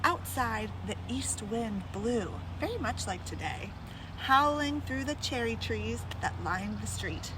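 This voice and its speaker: Woman, children's read-aloud storyteller. Higher register, lively swooping delivery, chatty direct address; noisy outdoor sound. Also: measured pace. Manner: addresses young listeners directly with questions.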